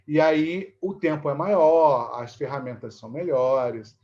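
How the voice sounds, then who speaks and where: Higher-voiced Brazilian man, halting delivery. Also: steady tone, highs cut off. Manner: halting, searching for words, frequent checking tags. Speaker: interviewee, theatre teacher and actor. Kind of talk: conversational interview, explaining.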